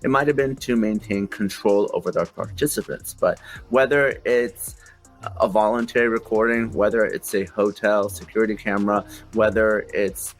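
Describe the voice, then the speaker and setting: A man giving measured, explanatory commentary as an expert in a news interview. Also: lowish voice, faint music behind, rough recording.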